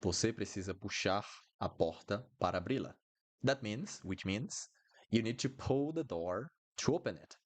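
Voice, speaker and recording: A man's voice: on the low side, lively intonation, moderate pace. A man from Brazil with a Brazilian Portuguese accent, teaching a live online Portuguese lesson in a chatty, apologetic way and repeating words for learners. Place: Brasilia.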